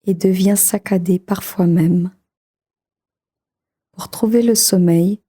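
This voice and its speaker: A woman leading a guided meditation for sleep in a calm, soothing, measured manner, with a soft voice that turns breathy at times. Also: on the low side.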